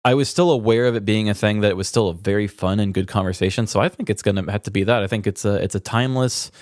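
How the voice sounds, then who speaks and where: A man's voice: lowish voice, fast talker, dry recording, studio quality. A man co-hosting a podcast in casual chat, informal and self-deprecating, swearing freely. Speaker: podcast co-host.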